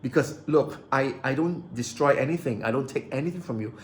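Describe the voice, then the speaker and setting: A man's voice: medium pitch, fairly quick, a little hiss or hum. A man ranting into the camera about his grievances, agitated, repeating himself and stumbling over restarts. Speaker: vlogger. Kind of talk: aggrieved personal rant to camera.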